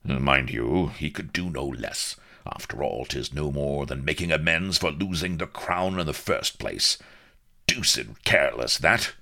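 Storybook admiral: old, massive, rumbling, hot-tempered, leaping from growl to bellow in wild swoops. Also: male voice, huge pitch swings, slow pace, sharp tone, exaggerated voice. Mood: astonished admiration. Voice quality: rumbling, roaring. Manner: archaic salty oaths, pompous bluster.

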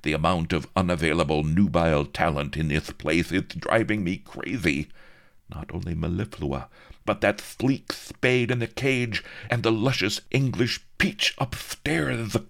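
A man's voice: fairly low pitch, animated, slow, a bit bright, voice pushed up, heavily acted. A man voicing a cruel, blustering male viceroy who screams, cackles and gloats in a theatrical storybook reading. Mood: whining.

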